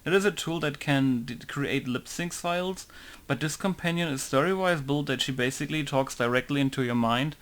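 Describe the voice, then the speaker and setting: A man's voice: on the high side, moderate pace, a bit bright. A man walking through a game modding tutorial, thinking aloud and rambling, often leaning on filler words like "basically" and "right?". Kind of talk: casual screen-recorded tutorial.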